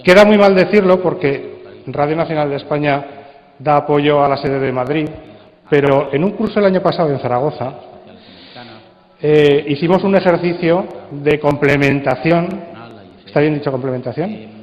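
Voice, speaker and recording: A man with a Spanish accent presents informally at a conference in a fairly high voice. He is conversational, often checking with listeners through questions. The sound is slightly dull, with long hall reverberation. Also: moderate pace, pauses often. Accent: Spain. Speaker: conference speaker on radio drama. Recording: muffled, echoey hall sound.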